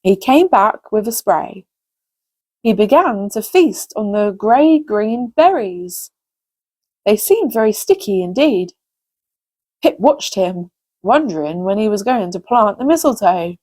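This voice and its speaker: A woman's voice: medium pitch, expressive, slow pace, darkish tone. A woman hosting a story time for young children, reading expressively and addressing the listeners directly. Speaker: host reading children's stories.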